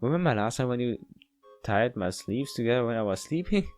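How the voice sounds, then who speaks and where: A man with a non-native English accent voicing a gentle, plaintive young student in a visual novel, halting and pleading. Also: medium pitch, lively intonation, soft background music, close to an everyday voice.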